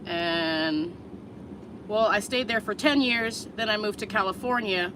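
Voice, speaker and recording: A woman's voice: mid-range voice, noisy background. Blunt, candid woman in her thirties. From Chicago area, USA. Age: 30s. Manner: candid, blunt, swears freely, unhurried. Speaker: vlogger answering viewers live. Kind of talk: casual livestream chat.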